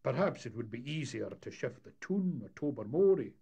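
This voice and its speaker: A man voicing a wily older boat captain who talks his way out of trouble in a sly, unhurried way. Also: medium pitch, sing-song, gravelly, dark tone, husky, pitched above the performer's own voice, heavily acted.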